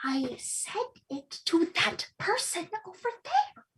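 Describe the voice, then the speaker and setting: Woman playing a high-pitched, anxious young co-op worker. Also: huge pitch swings, slow, a bit bright, full character voice. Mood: distraught confession. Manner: stammering, overwrought. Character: anxious, guilt-ridden young co-op worker, earnest and dramatic. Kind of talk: comedy table read acting.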